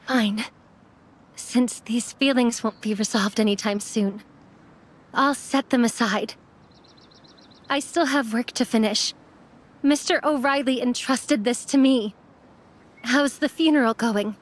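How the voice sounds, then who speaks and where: Earnest, high-voiced young apprentice. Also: female voice, slow pace, long pauses. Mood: resolute. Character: young immortal apprentice mortician, earnest, subdued. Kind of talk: anime game voice acting.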